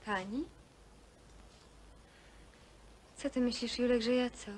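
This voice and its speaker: An actress playing a chatty, wistful young housemaid who puts on posh airs, in naturalistic film acting. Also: female voice, on the high side, fairly quick, darkish tone, slight background noise, close to an everyday voice. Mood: playful.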